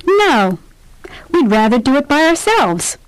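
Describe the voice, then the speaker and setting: A woman reading scripted practice dialogues for a language course, clearly and deliberately. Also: high voice, sing-song, no room sound, poor audio. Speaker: dialogue reader. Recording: dry studio sound.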